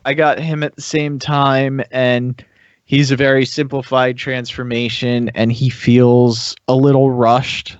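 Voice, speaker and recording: A man's voice: mid-range voice, little pitch movement, unhurried, smooth, no echo. A man chatting casually as a podcast panelist reviewing toys, hesitant and thinking aloud as he goes. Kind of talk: casual chat. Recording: slightly dull, lo-fi sound.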